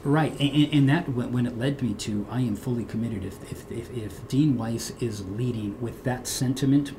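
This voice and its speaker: A man's voice: mid-range voice, normal speed, background noise. A middle-aged man, a guest in an interview, who grew up speaking Portuguese at home, talking earnestly and restarting his words. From United States. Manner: earnest, repeats words when starting. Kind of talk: interview conversation.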